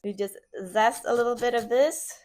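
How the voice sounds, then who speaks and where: A woman with a Swedish accent, whose first language is Swedish, hosts a casual cooking demonstration in her home kitchen. Her voice sits a little high, and she chats along easily, with plenty of fillers. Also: moderate pace. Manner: relaxed, chatty, full of fillers. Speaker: cooking show host.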